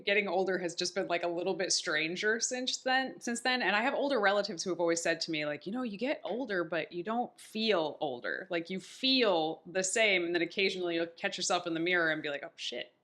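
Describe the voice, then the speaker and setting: Woman, 30s, podcast host, somewhat low pitch, chatty storytelling. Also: fairly quick, few pauses, fairly bright tone. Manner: chatty and upbeat, tells stories with quoted asides. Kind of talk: casual chat.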